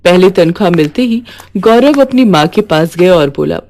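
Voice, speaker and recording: A woman's voice: on the low side, animated, moderate pace, darkish tone. A woman reading a scripted moral story as a storyteller.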